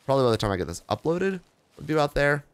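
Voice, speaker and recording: A young man with a hoarse, worn-out voice, rambling casually through game patch notes as he plays. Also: on the high side, sing-song, very fast, no echo. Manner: rambling, offhand, reading patch notes aloud with asides. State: hoarse, tired voice. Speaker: gaming video host. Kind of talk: casual gameplay commentary.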